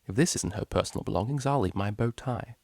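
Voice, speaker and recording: A prim young mare cellist from a storybook, acted out by a man, pitched above his natural voice. She is fussy and formal, easily exasperated, and speaks into a dry, close recording. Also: fast, gravelly, a little mellow, no room sound. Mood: mildly irritated.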